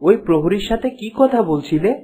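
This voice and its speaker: A man's voice: sits high, expressive, normal speed, warm tone, slightly rough. A man voicing a stern, suspicious young prince with a firm, questioning manner in a cartoon. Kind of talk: cartoon dialogue.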